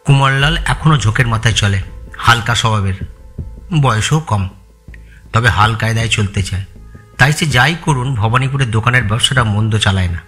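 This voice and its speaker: A man's voice: fairly low pitch, normal speed, a bit bright, soft background music, natural voice. An actor playing a loyal male family employee in an audio story, answering politely, hesitantly and with guarded deference. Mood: reflective, cautious. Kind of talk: audio story dramatised dialogue.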